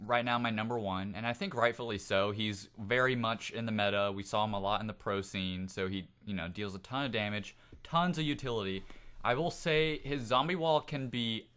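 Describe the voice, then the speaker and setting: A man's voice: medium pitch, fairly bright tone, clear, resonant tone. A man co-hosting a gaming podcast, casually discussing strategy with his fellow players. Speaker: podcast co-host.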